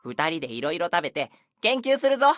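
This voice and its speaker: An enthusiastic, boyish young companion in a game. The speech rushes along with big swings in pitch, friendly and full of eagerness. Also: female voice, big pitch swings, soft background music. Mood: excited, friendly. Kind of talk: scripted Japanese game character acting.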